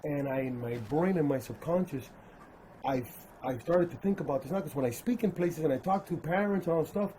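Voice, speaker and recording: A man's voice: fairly high pitch, fairly quick, mellow, slight background noise, rough recording. A man born in Cuba, hosting a casual interview and often chiming in with short agreements. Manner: frequent short agreeing replies. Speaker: podcast host.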